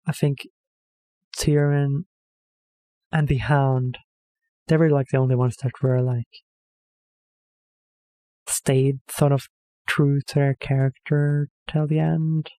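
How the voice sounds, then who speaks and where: Mellow-voiced man rambling. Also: mid-range voice, steady tone, slow, pauses often, dry recording. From Norway. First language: Norwegian. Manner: hesitant, rambling, self-correcting with many fillers. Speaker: reaction video host. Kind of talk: casual rambling commentary.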